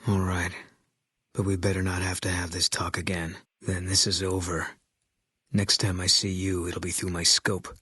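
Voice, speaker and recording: A man voicing a grim, embittered military sniper in a video game, terse and guarded, with a husky voice. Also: sits low, moderate pace, dry recording, studio quality, exaggerated voice. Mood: curt, hostile. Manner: terse, guarded, flat, clipped delivery. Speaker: video game companion character. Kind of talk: game voice acting, terse dialogue lines.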